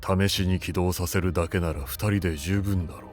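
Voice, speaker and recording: A man's voice: deep voice, brisk, faint music behind, lightly acted. A man playing the solemn supreme leader of a fantasy temple council, terse and authoritative. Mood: commanding. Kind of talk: dramatic scripted voice acting.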